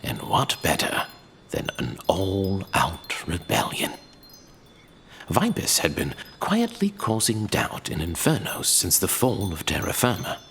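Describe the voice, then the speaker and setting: A man tells a scripted fantasy tale as narrator. His voice is on the low side and sharp-edged, moving expressively through dramatic phrases, with faint background ambience. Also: vocal fry, a little hiss or hum.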